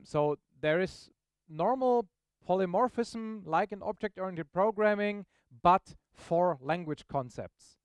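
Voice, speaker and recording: A man's voice: a little high, smooth, no echo, clean studio sound. A man giving an informal, explanatory live technical demo to an audience, often checking in with questions. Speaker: software presenter. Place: meetup presentation room, on stage.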